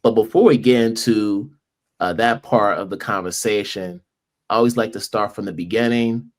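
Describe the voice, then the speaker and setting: Deep-voiced male podcast host. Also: normal speed. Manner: upbeat, welcoming, steady. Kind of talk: conversational interview.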